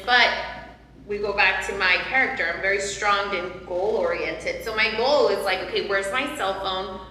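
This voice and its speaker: A woman's voice: medium pitch, bright, forceful tone, slight background noise. A woman in her forties, a panel guest, earnestly retelling a personal story with many fillers. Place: large hall, seated on a sofa. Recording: echoey hall, light ambience.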